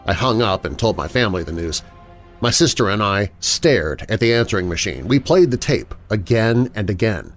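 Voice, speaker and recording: A man reading first-person scary stories as a narrator, in a dramatic, expressive storytelling manner. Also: lowish voice, moderate pace. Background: background music.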